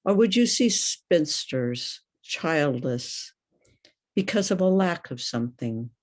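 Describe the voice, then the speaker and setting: An older woman reading poetry aloud in a deliberate, questioning manner. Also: low voice, lively intonation. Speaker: poet.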